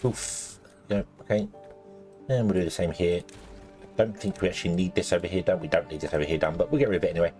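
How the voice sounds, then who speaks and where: A man commentating his gameplay casually, rambling with lots of ums and restarts, and a little croaky as he loses his voice. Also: low voice, steady tone, fast talker, a little mellow, soft background music. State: slightly croaky, losing his voice. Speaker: let's play host.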